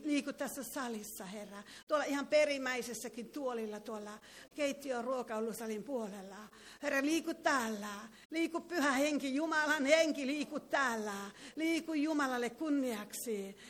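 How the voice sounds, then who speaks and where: A woman's voice: sits high, fairly bright tone. A woman leading a fervent charismatic prayer and prophecy in a meeting hall, breaking into tongues between phrases. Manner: fervent, prays with tongues interjected. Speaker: preacher.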